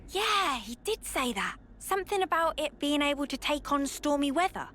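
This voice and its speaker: A brash, chatty soldier. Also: female voice, high-pitched, normal speed, slight background noise. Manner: casual, chatty, expressive. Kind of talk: video game cutscene voice acting.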